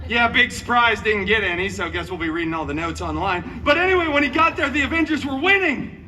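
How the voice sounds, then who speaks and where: A man's voice: extremely high pitch, animated, a little fast, background noise. A man performing comic live storytelling as a costumed theme park entertainer, theatrical and sarcastic, with wry asides. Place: outdoor theme park show. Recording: distant live amplified sound over loud music. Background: music.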